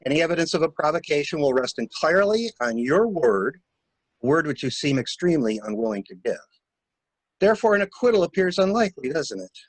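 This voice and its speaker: A man's voice: a little high, sing-song, brisk, dry recording, poor audio. A man playing a cool, insistent stranger in an amateur dramatic reading of a murder mystery. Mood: wry, reasoning. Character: cool, insistent male stranger scheming to shield a suspect. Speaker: library staff reader.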